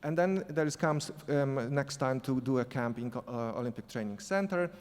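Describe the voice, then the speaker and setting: A man speaking English with a non-native accent, telling a story on a conference panel, halting and restarting often. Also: mid-range voice. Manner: halting, many restarts and fillers. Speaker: sport psychology consultant on a panel. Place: conference hall. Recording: echoey hall reverb.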